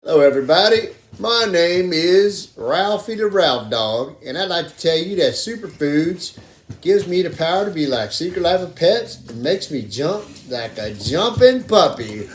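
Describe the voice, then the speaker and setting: Someone doing an excitable puppet dog, noisy recording. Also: mid-range voice, moderate pace, natural voice. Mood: excited, boastful. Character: excitable jumping talking dog selling dog food. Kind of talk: amateur puppet show.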